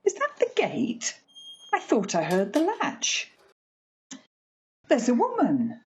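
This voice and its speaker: A woman playing a fussy, chatty working-class mother in a radio play, homely and expressive. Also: high-pitched, slow pace, breathy edge, highs cut off, exaggerated voice. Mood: flustered, excited.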